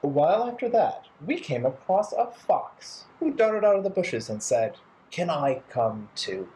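A man in his thirties narrating a children's storytime playfully and theatrically, with deliberate phrasing. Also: a little high, big pitch swings, mellow, poor audio. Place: bright living room indoors.

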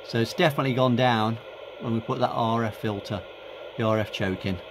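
A man's voice: mid-range voice, a little fast. A middle-aged man thinking aloud in an informal, hesitant way as he gives a casual hands-on demonstration. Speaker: hobbyist radio video host. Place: indoors at home workbench and radio desk. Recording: clear background hiss and room noise. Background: radio static, ambient noise.

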